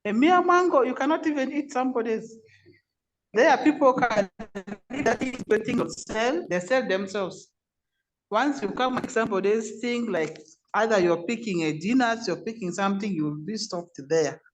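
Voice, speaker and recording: A man's voice: extremely high pitch, moderate pace, a little hiss or hum, low-quality recording. A man with a Ugandan accent telling a personal story in an online meeting.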